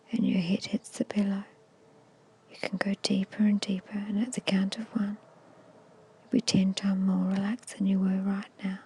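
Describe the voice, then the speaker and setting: A woman whispering a guided meditation in a slow, soothing, hushed storytelling manner, close to the microphone. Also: mid-range voice, poor audio. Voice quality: breathy whisper. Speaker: hypnosis guide.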